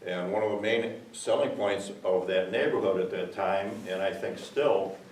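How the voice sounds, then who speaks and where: A retired local man stands to address a village board, loud and earnest, wandering through his memories with plenty of fillers. His low voice comes from well off the mic in a hollow, echoing hall with a faint hiss behind. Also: normal speed, recorded from a distance. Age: older. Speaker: resident giving public comment. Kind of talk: public comment at a village meeting. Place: village meeting room. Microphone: far room mic.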